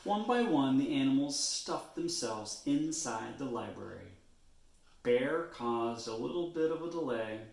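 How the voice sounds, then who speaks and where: A man reading a children's storybook aloud in an expressive way, stretching words for emphasis. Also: mid-range voice, creaky, echoey room. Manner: expressive, drawn-out phrasing with emphasis.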